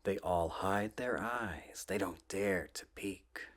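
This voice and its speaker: A soft-spoken man reading children's books aloud in a gentle, playful ASMR style, often dropping close to a whisper. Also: sits low. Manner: gentle, drawn-out, playful storybook delivery.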